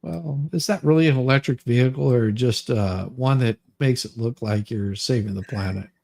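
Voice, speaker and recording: A man's voice: medium pitch, moderate pace, creaky, darkish tone, no room sound, clean studio sound. A man with a sardonic manner, bantering casually and reading letters aloud as a host.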